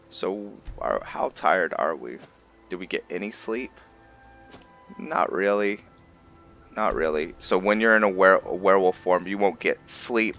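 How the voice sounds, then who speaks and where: A man giving casual gameplay commentary and roleplay storytelling, haltingly thinking aloud as he goes. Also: deep voice, pushed and bright, muffled recording. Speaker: gaming video host and roleplayer.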